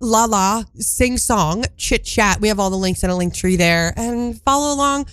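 A woman's voice: medium pitch, moderate pace, fairly bright tone, clear, resonant tone, no room sound. A woman co-hosting a community radio show in casual chat, a bit congested, chatty and laughing often as she jumps between topics. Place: radio studio.

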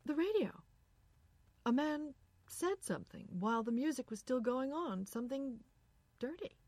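A woman's voice: sits high, very animated, normal speed, darkish tone, dry recording, voice pushed up, full character voice. A woman voicing an anxious, curious wife in a story, speaking emphatically and insistently. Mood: puzzled. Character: anxious, curious middle-class wife, easily astonished.

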